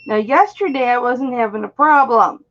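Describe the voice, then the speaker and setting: Hoarse, gravelly woman vlogger. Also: fairly high pitch, animated, normal speed, a bit bright, highs cut off. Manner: chatty, exclaims when frustrated. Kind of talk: casual chat while testing stones.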